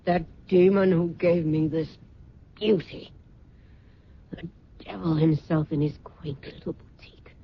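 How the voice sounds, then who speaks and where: A dull old radio recording with faint noise carries a proud, middle-aged woman who believes herself cursed. She speaks slowly and theatrically, with bitter sarcasm and anguish in the radio drama manner. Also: on the low side, steady tone. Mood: bitter raging. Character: proud, embittered middle-aged mother, a designer cursed with disfigurement.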